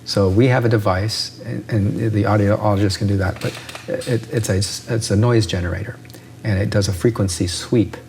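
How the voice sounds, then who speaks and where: A man's voice: fairly low pitch, fairly even intonation, normal speed, a little mellow, a little hiss or hum. A man, an ear doctor, explaining with analogies in an interview and often checking in with "right?".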